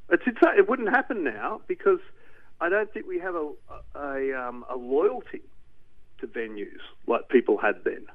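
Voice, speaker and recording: A middle-aged man with an Australian accent, from Newcastle, talking as an interview guest, animated and rambling with restarts. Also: a little high, normal speed. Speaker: guest, documentary director. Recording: tinny phone line.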